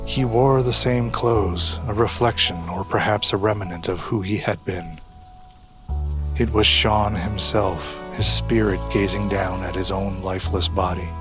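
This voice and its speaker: Male narrator for audio drama: lowish pitch, solemn and deliberate, clear music underneath. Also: steady tone. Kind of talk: scripted dramatic story narration.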